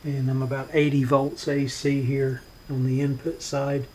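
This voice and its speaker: A man hosts a repair demonstration at a workbench. His voice sits at a middle pitch and stays quite flat, with little melody. He talks in an unhurried, halting, matter-of-fact manner. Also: a little hiss or hum. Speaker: host demonstrating a vintage radio repair. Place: indoor workbench.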